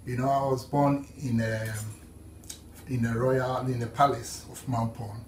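A man's voice: medium pitch, fairly even intonation, a little slow, recorded from a distance, slight background noise. A middle-aged man from Ghana with a Ghanaian accent, telling his life story to camera, rambling with many restarts. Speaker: artist. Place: indoor room with paintings behind. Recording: roomy echo, speaker set back from mic.

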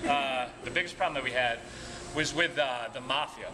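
A man's voice: medium pitch, moderate pace. A man working as a tour guide, telling casual, humorous stories to a group in a colloquial way. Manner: chuckles at own anecdotes, colloquial. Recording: noisy room, background music at times.

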